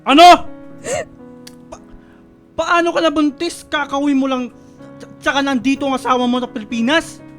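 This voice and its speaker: A man's voice: very high voice, fairly even intonation, normal speed, long pauses. An actor playing an exasperated, domineering older father and grandfather in a Filipino radio melodrama, scolding with some stammering. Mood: shocked, scolding. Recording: music and guitar behind the voice.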